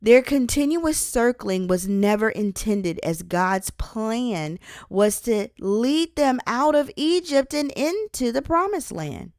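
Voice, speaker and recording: Slow, deliberate woman reading inspirational teaching. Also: on the high side, expressive, a little mellow, no echo, studio quality. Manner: deliberate, emphatic phrasing with pauses. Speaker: author and host reading own book. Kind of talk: scripted inspirational audiobook-style reading with Bible teaching.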